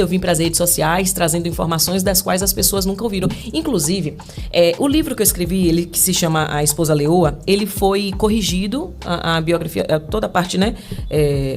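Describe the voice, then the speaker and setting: A woman's voice: fairly low pitch, darkish tone, slight background noise. Earnest Brazilian woman teaching on marriage. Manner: earnest, preachy, many fillers and restarts. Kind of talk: podcast interview.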